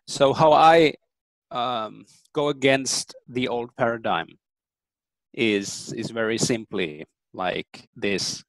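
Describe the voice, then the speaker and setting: A man in his thirties, a native German speaker, giving earnest, thoughtful interview answers with frequent pauses and ums. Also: medium pitch, dry recording, rough recording. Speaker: interview guest.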